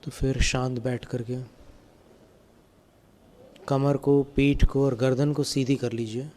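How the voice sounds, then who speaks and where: A man guiding a meditation as a spiritual teacher, giving calm, gentle, step-by-step instructions. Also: mid-range voice, takes it slowly. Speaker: Jain monk and meditation teacher.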